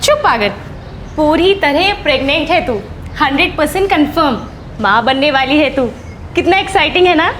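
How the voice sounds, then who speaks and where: An actress playing a cheerful, excitable woman of the family in a TV crime drama, affectionately teasing. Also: sits high, moderate pace, noisy background. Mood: delighted, teasing. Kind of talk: Hindi TV crime serial acting. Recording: dramatic background music.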